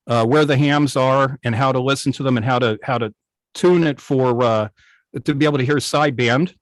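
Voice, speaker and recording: A middle-aged man casually reminiscing as a guest on a video call, speaking in a halting, thoughtful way. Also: medium pitch, dry recording. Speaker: guest, radio hobbyist. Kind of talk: casual reminiscing in an online club interview.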